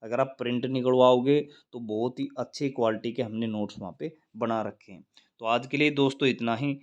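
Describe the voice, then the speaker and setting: A man speaks at an average male pitch in the role of an exam coach. He addresses candidates informally and instructively. He often repeats a point to drive it home. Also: steady tone, a little fast, muffled recording. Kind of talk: informal exam guidance talk to viewers.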